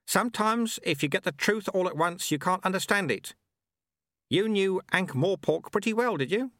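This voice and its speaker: A man's voice: high voice, expressive, normal speed, a bit bright, no echo, clean studio sound, pitched above the performer's own voice. A man voicing an old male sweeper monk, blunt and folksy, who mutters and drops his grammar. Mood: confiding.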